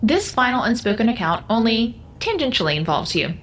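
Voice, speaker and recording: Formal, calm half-human house intelligence played by a woman. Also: on the high side, fairly quick, slight background noise. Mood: matter-of-fact. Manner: formal, precise, clinical diction. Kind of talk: audio drama acting.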